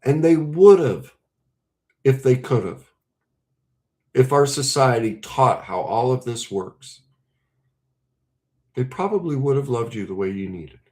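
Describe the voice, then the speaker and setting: A man speaking as a relationship coach, earnest and emphatic, breaking his talk into short, deliberate phrases. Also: mid-range voice, expressive, mellow. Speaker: relationship coach and podcast host. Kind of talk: coaching talk to camera with role-played example conversations.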